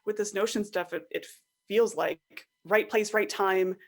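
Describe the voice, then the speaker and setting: A woman's voice: mid-range voice, steady tone, a little slow. A woman speaking as a podcast guest in a casual conversation, reflective and candid, often voicing her own thoughts as little quotes. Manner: reflective, candid, illustrates points with quoted self-talk.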